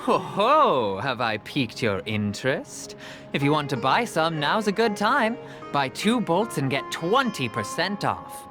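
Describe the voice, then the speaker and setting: Jovial travelling salesman, theatrical and swooping delivery, anime game dub over clear background music. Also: on the high side, very animated, unhurried. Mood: salesmanlike, jovial. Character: glib, jovial travelling merchant man, a persuasive storyteller.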